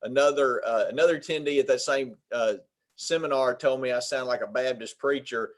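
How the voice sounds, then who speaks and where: A man with a Southern drawl from Arkansas presents a legal webinar. His voice sits at an ordinary middle pitch. He talks in a folksy way and pauses often on filler sounds.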